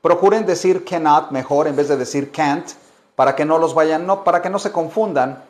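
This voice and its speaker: A man teaching English, switching between languages and repeating each phrase slowly for learners. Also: a little high, a little mellow. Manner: slow, deliberate drilling with many repetitions. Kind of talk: bilingual language lesson.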